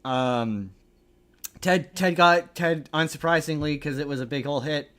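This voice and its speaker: A man's voice: a little high, unhurried, fairly bright tone, clear, resonant tone. A man hosting a podcast with casual pop-culture news chat, speaking in a rambling, opinionated way that often doubles back on itself. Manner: rambling, opinionated, often restarts and repeats himself. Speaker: podcast host.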